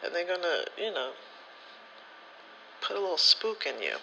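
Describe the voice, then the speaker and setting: A man's voice: high-pitched, huge pitch swings, moderate pace, creaky, bright, forceful tone, dull audio, a little hiss or hum. A man in a casual ASMR storytelling style, rambling and slangy, with profanity and acted-out bits of dialogue. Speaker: spiritual content creator.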